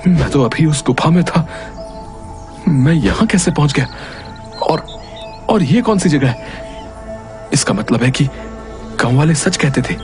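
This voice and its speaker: A man's voice: on the high side, lively intonation, unhurried, long pauses, a little mellow. A man voicing a naive, easily startled young hero in a cartoon story, with a wondering, exclaiming manner. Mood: puzzled. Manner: wondering, exclaiming, talks to himself. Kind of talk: Hindi cartoon story voice acting. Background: music.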